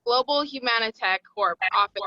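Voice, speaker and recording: A woman's voice: on the high side, normal speed, sharp tone, dry recording, highs cut off. A woman narrating stage directions at a screenplay table read.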